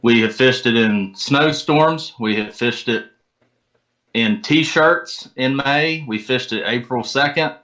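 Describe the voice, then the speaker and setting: A man's voice: medium pitch, slow pace, a bit bright. An American man chatting casually and modestly as an interview guest, over dull lo-fi audio. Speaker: interview guest, competitive angler.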